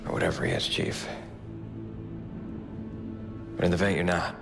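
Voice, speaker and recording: Deep, gravelly, grave military officer. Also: male voice, sing-song, normal speed, heavily acted. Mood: grave, sincere. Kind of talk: subdued video game voice acting. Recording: loud music behind the voice. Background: loud cinematic score.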